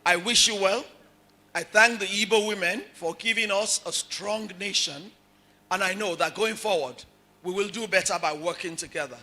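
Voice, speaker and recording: A woman's voice: mid-range voice, normal speed, pushed and bright. A woman giving a rallying, declarative speech at a conference. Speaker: conference speaker. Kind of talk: exhortative speech.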